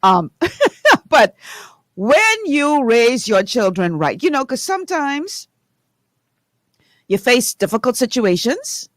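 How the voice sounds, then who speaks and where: A radio show host with a Bahamian accent, chatting casually and excitedly before turning to earnest, preacher-like encouragement. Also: slow pace, pauses often, no room sound, studio quality. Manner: animated, laughing, swings into high excited tones.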